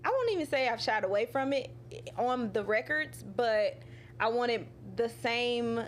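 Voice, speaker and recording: Woman singer, interview guest. Slightly high, lively voice, animated and laughing, acts out quotes, with a faint noise floor. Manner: drawn-out fillers like "you know" and "um". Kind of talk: casual interview chat.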